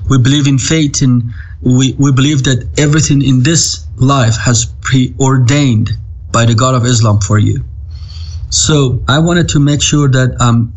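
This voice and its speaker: A man in his thirties from Iran with an Iranian accent, a native Farsi speaker, telling his life story earnestly over a video call, halting with frequent restarts. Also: medium pitch, poor audio. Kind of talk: personal testimony.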